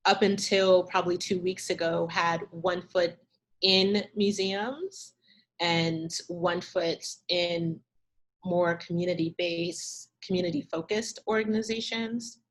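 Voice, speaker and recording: A woman curator answering questions in a talk, thoughtful and measured, pausing often over a video call. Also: fairly low pitch, low-quality recording. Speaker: arts curator, guest speaker.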